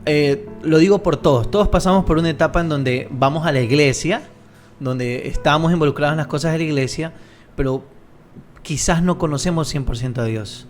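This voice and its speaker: A man with an Ecuadorian Spanish accent hosting a casual podcast interview, lively and joking with his guest. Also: a little high, fairly quick, soft background music. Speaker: podcast host.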